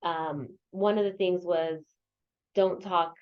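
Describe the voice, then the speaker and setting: Woman from el paso, lowish voice, earnest, lots of fillers, dull call audio. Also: steady tone, slow. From El Paso, Texas. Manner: earnest, repeats herself, many "you know" fillers. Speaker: real estate agent and local association president. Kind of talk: interview guest, casual conversation. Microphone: video or phone call.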